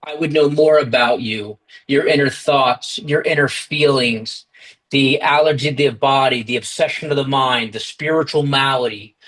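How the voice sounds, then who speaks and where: An American man talks steadily and earnestly over a video call, sharing at a recovery meeting. His voice sits at an ordinary pitch and his pace is even. He restarts a phrase now and then. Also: poor audio. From United States. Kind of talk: personal recovery talk.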